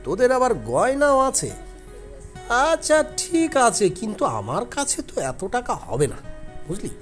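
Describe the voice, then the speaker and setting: A man's voice: very high voice, huge pitch swings, moderate pace, fairly bright tone, faint music behind, exaggerated voice. A man voicing a greedy, crooked, bullying village merchant in a cartoon folk tale, with a blustering, scolding manner. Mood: greedy, bargaining.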